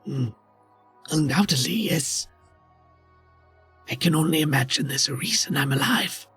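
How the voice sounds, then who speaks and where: A man voicing a sly, wounded frog-like bandit leader in a tabletop game, raspy and wryly sardonic. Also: on the high side, a little slow, pushed and bright, voice pushed up, full character voice. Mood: wry, pained. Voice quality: raspy, husky. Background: faint music.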